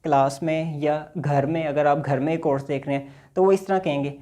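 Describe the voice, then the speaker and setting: A man's voice: on the high side, steady tone, moderate pace, warm tone. A man teaching basic English in a recorded lecture, patiently explaining and reading example dialogues aloud.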